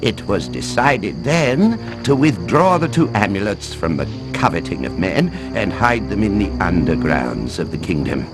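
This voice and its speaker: An actor playing a mild, learned professor who explains ancient lore with hesitant little chuckles in a game cutscene. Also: male voice, fairly low pitch. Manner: expository storytelling with hesitant chuckles. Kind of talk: scripted video game cutscene acting.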